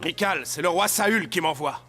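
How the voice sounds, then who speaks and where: Cold stern king's envoy in a cartoon, really hard sharp voice, music faint behind. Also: on the high side, moderate pace. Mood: stern, commanding. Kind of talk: dubbed animated Bible drama.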